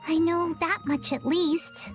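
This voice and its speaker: A woman's voice: extremely high pitch, fairly even intonation, takes it slowly, soft background music. A woman voicing a plucky, stubborn young girl treasure hunter in video game dialogue, self-assured and stoic. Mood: resolute.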